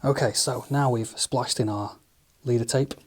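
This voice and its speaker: Guy explaining gear step by step, relaxed, um and uh here and there, medium voice. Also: mid-range voice, normal speed, a little hiss or hum. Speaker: studio engineer demonstrating equipment. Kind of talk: hands-on tutorial narration.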